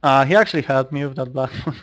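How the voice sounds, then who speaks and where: A man's voice: medium pitch, quick pace, dry recording, dull audio. A man from Poland with a Polish accent giving casual, hesitant live commentary on a card game, thinking aloud as he plays. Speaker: online card game player recording gameplay.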